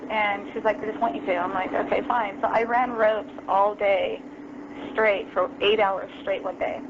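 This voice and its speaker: A woman's voice: mid-range voice, flat delivery, moderate pace. A young adult woman telling her story as an interview guest over the phone, casual and full of ums and you-knows. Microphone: phone call. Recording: lo-fi phone line, noisy.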